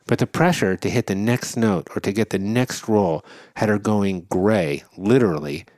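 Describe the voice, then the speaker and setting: Low-voiced man hosting a podcast. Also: normal speed, darkish tone, dry recording, studio quality. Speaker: podcast host. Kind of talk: scripted intro then interview questions.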